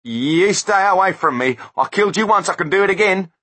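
A man playing a hired killer whose voice climbs high and shrill as he cracks, sneering one moment and begging the next. The voice has a bright, breathy edge and swings widely in pitch. The sound is close and dry, as in a storybook reading. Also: expressive, a little slow, heavily acted. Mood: frightened. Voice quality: shrill, panicky.

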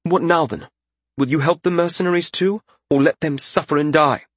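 A man's voice: a little high, moderate pace, breathy edge, no room sound, pitched above the performer's own voice. A man voicing a haughty young lord in a fantasy audiobook, with a formal, scolding and fretful manner. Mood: angry. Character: indignant, haughty young lord, male, quick to accuse. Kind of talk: audiobook fantasy character acting.

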